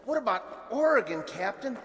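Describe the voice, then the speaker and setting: A man's voice: very high voice, big pitch swings, moderate pace, full character voice. An actor playing an eager, ordinary male colonist questioning his leader in a radio drama. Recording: reverberant hall, loud crowd behind.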